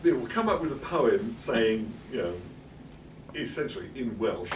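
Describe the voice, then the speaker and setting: A man's voice: medium pitch, sing-song, moderate pace, background noise. A middle-aged English man giving a chatty, wry talk in a library, full of fillers and asides. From England. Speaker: presenter. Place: public library meeting room. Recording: roomy hall, speaker far from mic.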